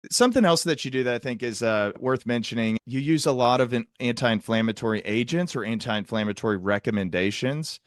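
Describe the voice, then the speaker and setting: A man's voice: medium pitch, fairly quick, few pauses, no echo, clean studio sound. A man hosting a conversational interview, explaining things at length in technical terms as a psychiatrist. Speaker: host, psychiatrist interviewing a guest.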